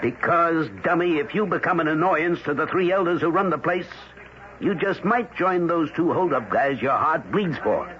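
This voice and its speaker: A man's voice: on the high side, normal speed, close to an everyday voice. An actor playing a cautious, blunt, weary man warning a stubborn colleague in an old-time radio drama. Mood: exasperated warning. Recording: muffled, noisy vintage radio recording.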